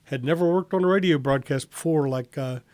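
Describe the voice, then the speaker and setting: Higher-pitched man in dry studio talk. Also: fairly quick, darkish tone, dry recording. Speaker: radio drama writer and public broadcaster. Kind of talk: unscripted studio conversation introducing a radio drama. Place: radio studio.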